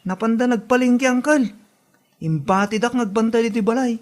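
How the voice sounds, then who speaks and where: A performer playing a curious, excitable young child in a comedy drama. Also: mid-range voice, fairly quick, close to an everyday voice. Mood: anxious, questioning.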